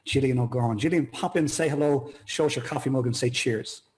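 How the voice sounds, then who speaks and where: A man's voice: mid-range voice, a little fast, a little mellow. A man hosting a casual interview chat, effusive and full of compliments. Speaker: talk show host.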